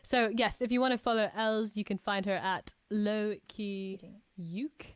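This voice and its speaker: A woman's voice: medium pitch, fairly even intonation, measured pace, smooth, dry recording. A woman with a Scottish American accent hosting a casual livestream with upbeat, encouraging chat. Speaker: host.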